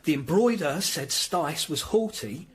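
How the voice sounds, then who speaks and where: An actor playing a tough, loyal lawyer's assistant in a period radio drama, blunt and plain-spoken. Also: male voice, on the high side, animated, measured pace, slight background noise. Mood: brisk, wry.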